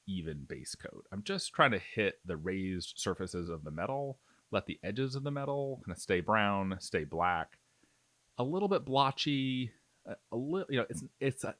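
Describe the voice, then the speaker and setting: A man with a fairly low voice narrates a step-by-step tutorial in an informal, conversational manner. His intonation is very lively, moving freely up and down as he explains and reassures. The sound is dry, with very little room in it. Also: dry recording. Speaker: miniature painting tutorial host.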